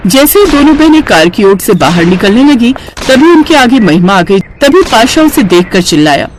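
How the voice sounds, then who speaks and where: A woman's voice: on the high side, sing-song, moderate pace, a little hiss or hum, poor audio. A woman reading a scripted story as its narrator.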